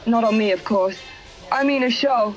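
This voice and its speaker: A woman's voice: a little high, moderate pace, natural voice. A woman playing a defiant, scheming den mother of a nightclub in a parody radio drama. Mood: coy, coaxing. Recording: muffled old-radio sound with music.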